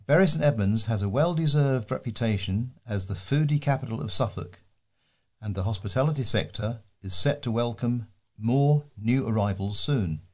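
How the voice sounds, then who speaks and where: A man's voice: mid-range voice, normal speed, darkish tone. A man reading a scripted local news feature aloud as a volunteer newsreader. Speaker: volunteer newsreader for a talking newspaper.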